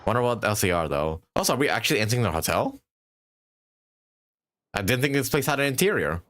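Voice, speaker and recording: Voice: mid-range voice, big pitch swings, fast talker, fairly bright tone, a little hiss or hum. A game streamer giving casual live gameplay commentary in wry, muttered reactions. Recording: game music behind.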